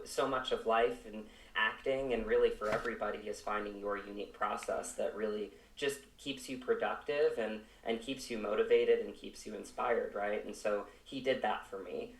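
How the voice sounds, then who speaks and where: A man from Virginia, an actor, giving casual, halting interview answers peppered with "you know". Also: on the low side, far from the mic. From Virginia, United States. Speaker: actor, podcast guest. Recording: roomy echo, away from mic.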